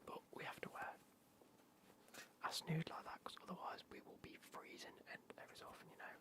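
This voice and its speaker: A man's voice: brisk, fairly bright tone. Whispering man with a cold. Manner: whispered, chatty, repeats phrases. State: has a cold coming on. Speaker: gaming streamer. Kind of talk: whispered ASMR gaming livestream.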